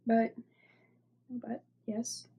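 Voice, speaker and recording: A congested young woman talks through her knitting projects in a loose, rambling way, with lots of "like" and "um". Her voice sits a little high but sounds mellow and dark. It is husky, and she keeps trailing off into near-whispers. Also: little pitch movement, very slow. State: stuffy, congested. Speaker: knitting podcast host. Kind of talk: casual show-and-tell chat.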